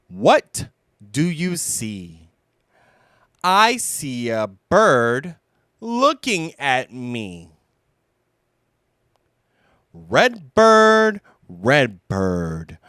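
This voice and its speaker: Male children's storyteller; smooth, clean delivery, close dry sound, expressive and deliberate. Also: mid-range voice, no echo. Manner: expressive, deliberate, emphatic phrasing. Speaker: storybook reader. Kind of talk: children's read-aloud narration.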